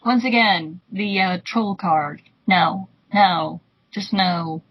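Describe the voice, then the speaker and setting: A woman's voice: mid-range voice, takes it slowly, slightly rough. A woman giving blunt, scornful and dismissive casual commentary as a co-host, on a dull lo-fi microphone. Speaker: co-host commenting on online arguments.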